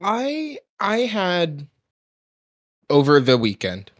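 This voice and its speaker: A man's voice: high-pitched, huge pitch swings, slow. A man co-hosting a podcast, telling stories in casual conversation. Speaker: podcast co-host. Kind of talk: casual chat.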